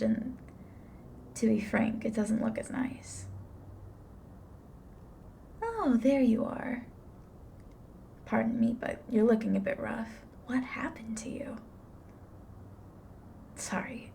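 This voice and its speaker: A woman's voice: fairly high pitch, vocal fry, dark tone, full character voice. A woman playing a blunt, flippant grim reaper in an ASMR roleplay, with an offhand, dry manner. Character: blunt, flippant female grim reaper, unsentimental but curious.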